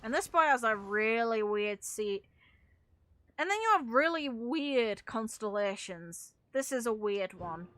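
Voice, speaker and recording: A woman's voice: a little high, animated, unhurried, fairly bright tone, no echo. A woman giving casual, opinionated video game commentary as a streamer, with some casual swearing.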